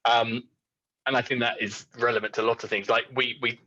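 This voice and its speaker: A man's voice: mid-range voice, flat delivery, fairly quick, bright, forceful tone, lo-fi sound. A man co-hosting a podcast, with a nasal voice, talking things through earnestly. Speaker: podcast co-host. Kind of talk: casual chat.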